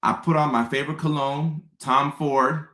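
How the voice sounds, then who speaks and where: A man in his thirties from Ghana, hosting a relaxed livestream and chatting with viewers, often repeating his phrases. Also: fairly high pitch, moderate pace. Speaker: host. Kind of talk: casual livestream chat.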